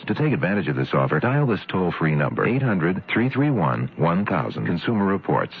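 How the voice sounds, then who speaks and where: A tinny radio recording of an American male announcer. His very low, husky voice moves with lots of inflection through a persuasive commercial script. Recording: narrow, tinny radio broadcast.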